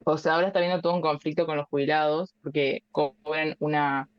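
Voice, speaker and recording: A woman in her twenties with an Argentine Spanish accent, a guest speaking casually and thoughtfully, with many pauses and fillers. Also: low-pitched, flat delivery, clean tone, no room sound, lo-fi sound. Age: 20s. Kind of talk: casual interview chat.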